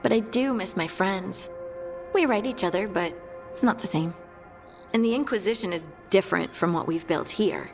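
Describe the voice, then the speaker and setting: A woman playing a warm, earnest young scout in a fantasy video game, chatting in a friendly, conversational way. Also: medium pitch, normal speed, over music, natural voice. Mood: reflective. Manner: chatty, friendly, lightly amused.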